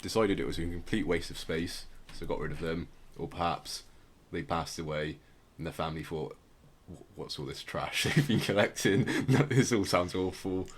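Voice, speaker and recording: A man with a low-pitched voice hosts a casual podcast. He explains things conversationally, rambling a little and softening his points as he goes. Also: moderate pace, few pauses, slightly rough. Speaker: podcast host.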